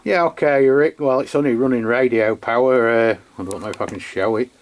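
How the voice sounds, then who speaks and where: An English man hosting a radio demo in casual unscripted chat, halting and rambling as he corrects himself. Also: medium pitch, background noise. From England. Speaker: amateur radio channel host. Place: beside his radio set, filming the equipment.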